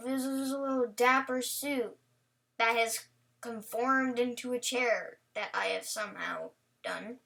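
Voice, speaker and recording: Female reaction host: high, bright voice, playful casual rambling, recorded a little far from the mic. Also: gravelly. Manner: rambling, playful, talks to the on-screen character.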